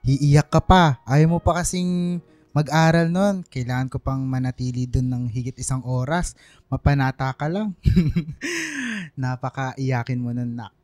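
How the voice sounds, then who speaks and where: A man's voice: mid-range voice, a little slow, darkish tone, no room sound, lightly acted. A man playing a doting, teasing middle-aged father in a Filipino radio drama, affectionate and full of exclamations. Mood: fond teasing.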